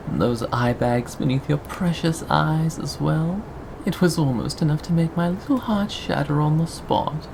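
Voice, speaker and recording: A performer in an intimate ASMR roleplay voicing a possessive, sweetly menacing captor, coaxing and teasing with pauses and sighs. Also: medium pitch, background noise, lightly acted.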